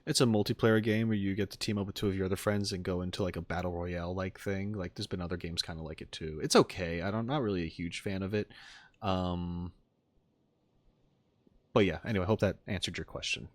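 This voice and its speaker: A man's voice: low-pitched, steady tone, fairly quick, no room sound. A man chatting casually as a streamer to a live audience, rambling and playful. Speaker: streamer reacting to game content.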